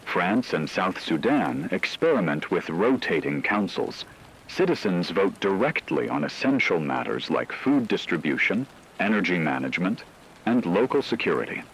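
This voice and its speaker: A man delivering scripted news narration as a radio co-anchor in a formal, measured way. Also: low voice, very animated, vocal fry, fairly bright tone, breathy edge, rough recording.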